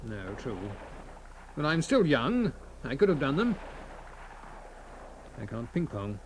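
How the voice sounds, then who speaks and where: An actor playing a self-pitying middle-aged husband given to exaggeration, exclaiming and repeating himself in comic radio play style. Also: male voice, mid-range voice, very animated, slow. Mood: resigned, brightening. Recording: noisy radio drama recording.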